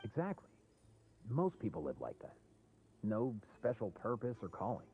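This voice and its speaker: A man's voice: on the low side, huge pitch swings, normal speed, mellow, lo-fi sound. An actor playing an easygoing, teasing man in a video game, talking in a breezy, casual way. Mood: matter-of-fact. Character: easygoing, teasing male friend, a down-to-earth vet.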